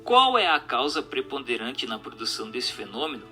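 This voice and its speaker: A man's voice: medium pitch, very animated, normal speed, bright, forceful tone. A man reads a scripted question-and-answer text in a formal, didactic manner. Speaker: narrator reading a spiritist book. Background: music.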